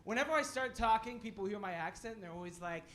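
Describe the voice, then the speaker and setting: A man's voice: high voice, lively intonation, fast talker, heavy background noise. A Canadian man with a Canadian accent doing stand-up on a club stage, rambling and riffing with the audience. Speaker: stand-up comedian. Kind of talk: stand-up set with crowd work. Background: audience laughing.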